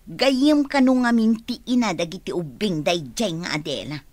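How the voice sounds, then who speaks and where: A woman's voice: medium pitch, animated, normal speed, darkish tone, no echo, lightly acted. An actress playing an earnest, firm woman in a radio drama.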